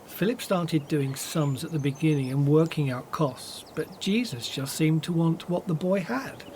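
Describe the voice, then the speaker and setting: An actor playing a brisk, organizing, trusting disciple in a Bible radio drama, speaking in an insistent manner. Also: male voice, fairly high pitch, a little mellow, slight background noise, natural voice.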